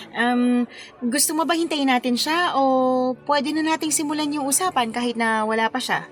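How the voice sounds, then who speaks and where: A woman's voice: sits high, unhurried. A woman with a Filipino accent playing a patient, good-humoured wedding planner in a radio drama, friendly and lightly joking. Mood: friendly, chatty.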